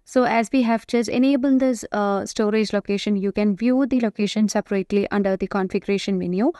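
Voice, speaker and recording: A woman giving explanatory software tutorial narration, speaking in a halting, semi-improvised way. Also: medium pitch, no room sound, studio quality. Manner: explanatory, halting delivery with frequent hesitations.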